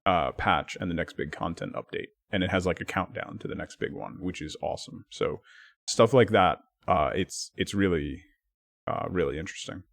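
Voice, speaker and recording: A man streaming live, chatting casually with his viewers and thanking them by name, his talk full of lively swings up and down. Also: deep voice, normal speed, vocal fry, no room sound.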